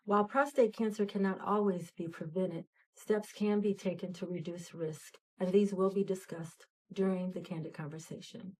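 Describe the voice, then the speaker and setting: A woman hosting the program, delivering a scripted invitation in a measured, inviting way. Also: medium pitch, steady tone, darkish tone, poor audio. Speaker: host of the nurses' association event. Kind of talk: scripted announcement.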